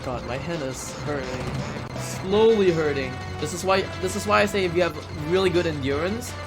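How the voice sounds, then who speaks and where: A man's voice: on the high side, normal speed, rough recording. A man giving casual, chatty live commentary while playing a video game as a speedrunner. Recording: game music behind the voice. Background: video game music.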